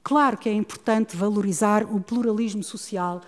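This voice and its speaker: A middle-aged woman with a European Portuguese accent, giving a measured, academic talk on a book about education. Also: medium pitch, fairly even intonation. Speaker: speaker presenting a book on education. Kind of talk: formal talk followed by discussion. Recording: long reverb of a hall.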